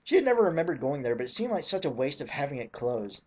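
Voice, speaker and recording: A mid-pitched voice performs a mock dramatic reading of fan fiction. The delivery is theatrical and put-upon, with an exasperated edge. Sarcastic commentary is slipped in between the narrated sentences. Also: sing-song, quick pace, a little mellow, husky. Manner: theatrical, exasperated, breaks off for snarky remarks.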